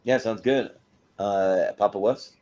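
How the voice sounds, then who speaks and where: A man's voice: lowish voice, measured pace, darkish tone. A man hosting a casual panel chat in an informal, chuckling way. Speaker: host of a movie tournament panel.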